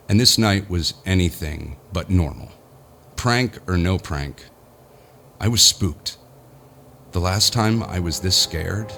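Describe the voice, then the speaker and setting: Middle-aged business boss, deep voice, haunted and halting, folksy rambler, audio horror drama. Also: male voice, a bit bright, slight background noise. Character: spooked, rambling male business boss recounting his ordeal.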